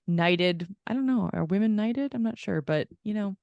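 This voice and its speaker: A woman's voice: medium pitch, animated, moderate pace, creaky, dry recording, dull audio. A woman co-hosting a podcast in casual chat with a friend, gushing and affirming, rambling in a giggly, self-deprecating way. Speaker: podcast co-host.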